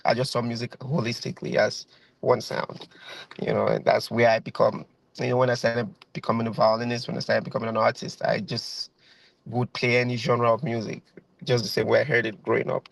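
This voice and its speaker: A man's voice: mid-range voice, little pitch movement, fairly quick, vocal fry, no room sound, poor audio. A man in his thirties with a Nigerian accent, a guest violinist chatting over a video call, rambling with lots of fillers and repeated phrases. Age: 30s.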